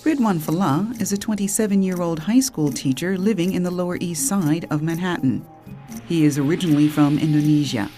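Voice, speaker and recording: Woman, low pitch, mellow, scripted documentary narration, even measured delivery, faint music behind.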